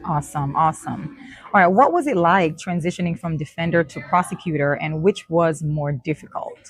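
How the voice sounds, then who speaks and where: A woman with a notably low-pitched voice hosts a talk show. She asks her guest questions and affirms his answers in an encouraging way. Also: moderate pace. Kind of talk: interview questions.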